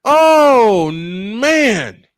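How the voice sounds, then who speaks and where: Male play-by-play baseball announcer, mid-pitched and very lively, folksy and exclamatory, chatting between pitches on a dry mic. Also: extremely slow, no room sound. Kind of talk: live baseball commentary mixed with casual chat.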